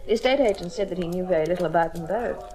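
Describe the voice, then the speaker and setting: A woman's voice: on the low side, rapid-fire, mellow, slight background noise, natural voice. An actress playing a detective's playful young female sidekick in an old radio mystery, wry and lightly sceptical. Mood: matter-of-fact.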